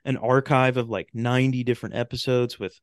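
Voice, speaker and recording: A man's voice: mid-range voice, fairly even intonation, fairly quick, smooth, no echo. Male podcast guest, clean resonant voice, dry sound, casual and rambling delivery. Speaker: podcast guest, talk show host and radio drama maker. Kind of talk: casual interview chat.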